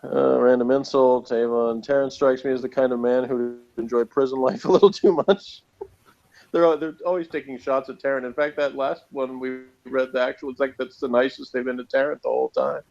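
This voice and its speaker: Man, podcast co-host, mid-pitched, casual, wry joking delivery, slightly dull recording. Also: steady tone, fairly quick, gravelly, darkish tone. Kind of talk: casual chat, reading out notes and commenting.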